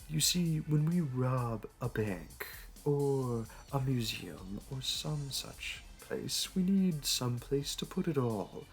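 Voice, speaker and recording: A man playing a smug, flirty supervillain in an audio roleplay, talking in a teasing, theatrical, unhurried way. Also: medium pitch, gravelly. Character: smug, flirty male supervillain hosting a hero in his lair. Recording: music behind. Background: background music.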